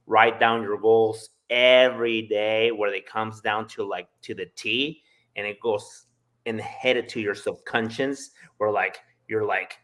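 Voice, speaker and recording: A man's voice: mid-range voice, a bit bright. Male sales entrepreneur, native Spanish speaker with Spanish accent, emphatic casual podcast delivery. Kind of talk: casual live podcast talk.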